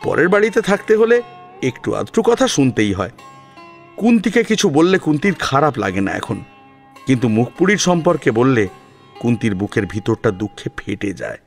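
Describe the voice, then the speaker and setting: A male storyteller narrates a scripted tale. His delivery is animated, with a mid-pitched voice that moves freely. Instrumental music with plucked strings plays faintly behind him. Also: normal speed.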